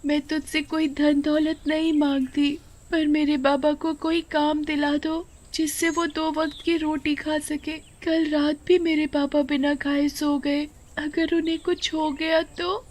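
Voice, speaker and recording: A woman's voice: sits high, level tone, unhurried, a little mellow, voice pushed up. A woman voicing a ten-year-old girl, a devoted daughter in a moral story, tender and pleading. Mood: tearful pleading. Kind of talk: Hindi moral story dramatization.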